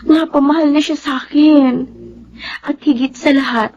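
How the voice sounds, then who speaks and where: An actress playing a young, lovestruck daughter in a Filipino radio drama, earnest and emotional. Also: female voice, high voice, steady tone, normal speed, a little mellow, lo-fi sound. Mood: distressed. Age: young adult.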